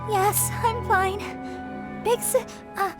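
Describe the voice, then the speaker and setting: A woman's voice: very high voice, heavily acted. A woman playing a timid, polite, earnest young woman in a game, hesitant and deferential, over music. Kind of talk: scripted game dialogue. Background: background music.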